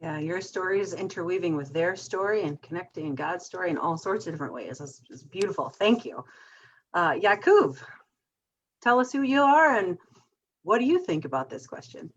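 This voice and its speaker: A woman moderating a panel, affirming the speakers and gently guiding the talk to the next guest. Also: on the low side, expressive, moderate pace, husky, highs cut off. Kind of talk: panel discussion.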